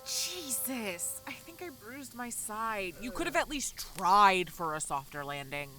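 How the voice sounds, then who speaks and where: A woman's voice: medium pitch, sing-song, unhurried, over loud music. An actress playing a brash, sardonic young woman, an escaped prisoner, in a naturalistic audio drama. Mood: grumbling. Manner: sardonic, blunt, profane. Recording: noisy vehicle ambience behind.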